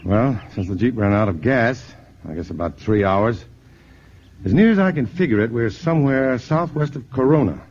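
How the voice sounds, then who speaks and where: An actor playing a steady, reassuring male scientist on the run from invaders in a 1950s radio drama, on an old broadcast recording. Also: medium pitch, normal speed, muffled recording, close to an everyday voice. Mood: brisk, encouraging.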